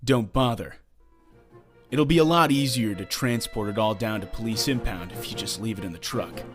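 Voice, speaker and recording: An actor playing a defiant young hero and former sidekick in a comic dub, speaking bluntly and with feeling. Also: male voice, lowish voice, normal speed, music in the background, lightly acted. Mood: cocky. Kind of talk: comic dub dramatic acting.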